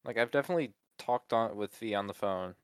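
A man's voice: lowish voice, quick pace, clear, resonant tone, no echo. A man co-hosting a podcast in laid-back chat, explaining tech with lots of filler words. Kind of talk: casual chat.

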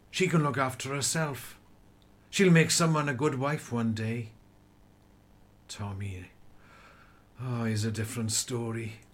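A man playing a humble, plain-spoken farm labourer and father in a radio play. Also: mid-range voice. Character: Tommy's father, humble, hard-working rural farm labourer.

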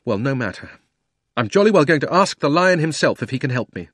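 A man's voice: medium pitch, big pitch swings, fairly quick, no echo, studio quality, pitched above the performer's own voice. A man voicing a determined young boy who talks earnestly and indignantly.

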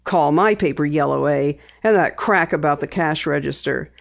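A woman voicing a gruff, skeptical middle-aged newspaper editor and father in a storybook reading, low and dry. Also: normal speed, lo-fi sound. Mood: grumbling.

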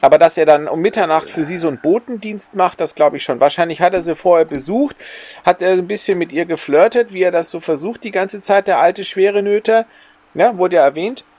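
A man with a somewhat high-pitched voice talks as a podcast co-host. He discusses a story casually and likes to argue his case, laying it out one step after another. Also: brisk, a little mellow, no room sound. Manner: argumentative, explains step by step. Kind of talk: casual discussion of an audio drama.

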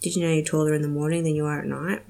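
Young woman, podcast host, lowish voice, gravelly fry, a bit nasal from a cold, chatty with lots of ums. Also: level tone, rapid-fire, dark tone. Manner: chatty, giggly, many ums. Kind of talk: casual solo podcast chat.

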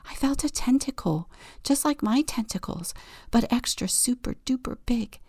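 A woman voicing a curious female octopus who whispers excitedly. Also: on the high side, slow pace, slightly rough, no room sound, studio quality, pitched above the performer's own voice, heavily acted. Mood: hushed.

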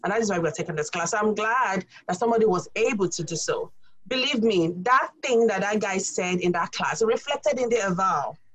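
A young Nigerian woman with a Nigerian accent talks earnestly in a casual discussion. She explains her point at length and keeps adding small fillers as she goes. Her voice sits in an ordinary middle pitch. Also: lively intonation, brisk, a bit bright, rough recording. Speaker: graduate student instructor.

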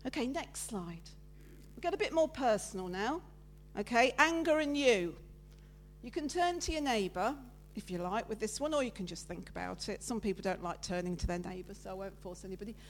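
A woman giving a lively, loud, chatty sermon with slides, talking with her congregation. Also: medium pitch, brisk, slight background noise. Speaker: preacher giving a talk at church. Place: church meeting room with listeners present.

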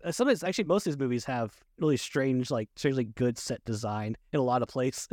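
A man's voice: mid-range voice, fast talker, darkish tone, no room sound, clean studio sound. A jocular man co-hosting a casual movie chat, rambling along and often amused. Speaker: podcast co-host.

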